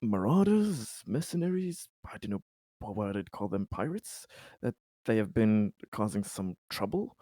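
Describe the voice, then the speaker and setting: A man playing a polite, hesitant company man in a sci-fi tabletop game, speaking in halting, repetitive, formal phrases. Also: fairly low pitch, sing-song, dark tone, no room sound. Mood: hesitant, concerned. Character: Conrad, polite, hesitant, optimistic company man at a space colony. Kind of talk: tabletop roleplay in character.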